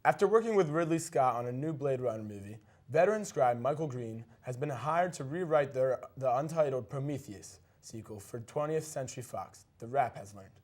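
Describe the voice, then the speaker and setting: A man's voice: mid-range voice, a little mellow. A man co-anchoring a news segment, reading scripted stories with brief casual remarks and occasionally stumbling over a word.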